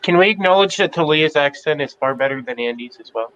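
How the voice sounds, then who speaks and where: A man's voice: fairly high pitch, lively intonation, a little fast, fairly bright tone, dull audio. A man chatting casually as a panelist on a livestream. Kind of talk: casual chat.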